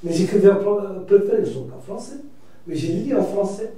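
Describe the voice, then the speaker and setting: A Mauritanian writer speaking reflectively as a debate guest, pausing and restarting often. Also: male voice, sits high, expressive, warm tone. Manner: reflective, hesitant, many restarts and fillers. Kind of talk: debate discussion. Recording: echoey room from far off.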